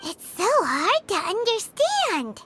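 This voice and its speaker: Squeaky, extremely high-pitched little fairy girl. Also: huge pitch swings, slow, background noise, exaggerated voice. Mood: puzzled. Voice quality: squeaky, childlike, piercing. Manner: cutesy, earnest, speaks of herself by name. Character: tiny excitable childlike fairy companion, female. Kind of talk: anime video game voice acting.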